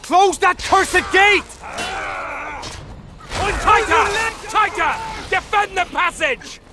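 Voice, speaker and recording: An actor playing a brutish, aggressive army officer in a video game, barking coarse commands. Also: male voice, very high voice, very slow, over music, full character voice. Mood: furious commanding.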